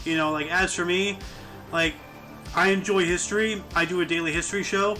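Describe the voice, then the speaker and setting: A man in his thirties with a high, bright, ringing voice, chatting casually with friends. He rambles and loops through his stories, leaning on fillers. Faint music plays behind him. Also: fairly even intonation, a little fast. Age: 30s. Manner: rambling, many fillers like "you know". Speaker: podcast host. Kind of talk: casual group chat.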